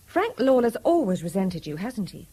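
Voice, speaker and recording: An actress playing a sharp-minded, protective, indignant woman with a British accent, insistent and probing, in a radio drama. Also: mid-range voice, sing-song, moderate pace, slightly rough.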